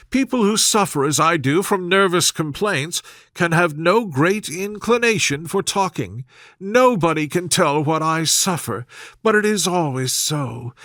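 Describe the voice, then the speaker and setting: Man playing a high-pitched, fretful, self-pitying mother. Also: a bit bright, dry recording, clean studio sound. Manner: plaintive, fretful, complaining. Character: melodramatic, self-pitying middle-aged mother, querulous and scolding.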